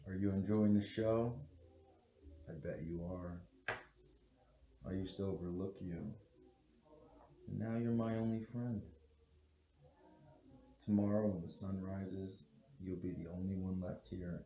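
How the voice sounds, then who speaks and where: An actor at a table read playing a panicked, guilty husband with a nervous, hesitant way of talking. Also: male voice, low-pitched, steady tone, measured pace, long pauses, dark tone, highs cut off. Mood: bitter, reflective. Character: panicked, guilty husband turned killer, stammering and pleading. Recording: roomy echo with faint background noise.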